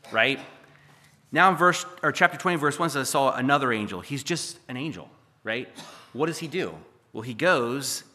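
Hoarse preacher in an echoey hall. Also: male voice, mid-range voice, sharp tone. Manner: conversational, with jokey asides and frequent "okay?" and "right?". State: hoarse from losing his voice. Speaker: pastor. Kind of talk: preaching a sermon with Bible readings.

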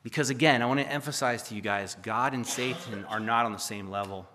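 Pastor, male, hoarse from losing his voice, bright, forceful tone, conversational preaching with jokey asides. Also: medium pitch, a little fast, slight background noise. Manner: conversational, with jokey asides and frequent "okay?" and "right?". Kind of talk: preaching a sermon with Bible readings. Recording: echoey hall reverb.

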